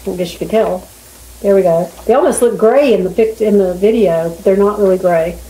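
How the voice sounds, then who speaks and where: A middle-aged or older woman chatting casually in a folksy, unhurried way as she vlogs at home. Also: medium pitch, dark tone. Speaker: home vlogger. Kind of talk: casual chat while cooking. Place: home kitchen, indoors. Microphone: camera a few feet away. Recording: roomy kitchen with sizzling pan noise. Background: frying and sizzling food.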